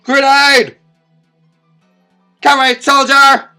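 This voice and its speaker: A man's voice: very high-pitched, little pitch movement, slow, faint music behind, voice pushed up, heavily acted. A man voicing a ruthless army commander in a recruitment parody, barking orders in a commanding manner. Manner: shouted, commanding.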